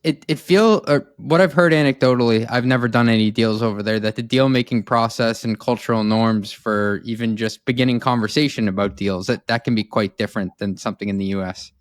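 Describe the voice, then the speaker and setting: A man's voice: mid-range voice, brisk, hardly any pauses, no room sound, studio quality. A man hosting a podcast, asking curious, conversational questions of his guest. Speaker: podcast host. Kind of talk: interview conversation.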